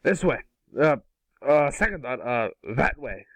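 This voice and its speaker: A guy as a cocky, animated boy superhero. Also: on the high side, measured pace, gravelly, dry recording, heavily acted. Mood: eager, unsure. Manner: boastful, wisecracking. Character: cocky boy superhero made of fire, brash and boastful. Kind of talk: amateur table read of a cartoon script.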